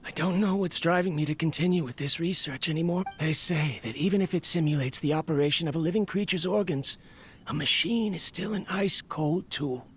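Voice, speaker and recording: An actor playing a weary, lonely inventor, reflective and earnest, in a dramatic video game scene. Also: male voice, fairly high pitch, brisk, a bit bright, a little hiss or hum, close to an everyday voice. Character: weary, lonely male inventor talking to his robot.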